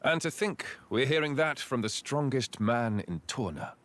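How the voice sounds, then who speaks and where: An actor playing a noble, earnest young prince in a video game, courteous, encouraging and polished in delivery. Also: male voice, medium pitch, animated, normal speed, fairly bright tone, lightly acted. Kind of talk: video game cutscene acting.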